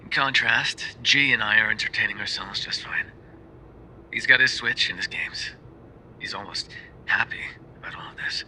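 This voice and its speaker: A man performs a tender, bookish mafia boyfriend for an ASMR roleplay. His voice is on the low side with a very bright, sharp edge, soft-spoken and often whispered. He talks sheepishly and haltingly, as in a private phone message, over faint background noise. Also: rough recording. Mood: bored, wry.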